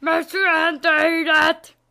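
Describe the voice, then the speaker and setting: A woman's voice: very high-pitched, monotone, pushed and bright, exaggerated voice. A woman voicing a small, grumpy, mischievous alien creature with grunts and exclamations, in an amateur cartoon fandub. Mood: menacing.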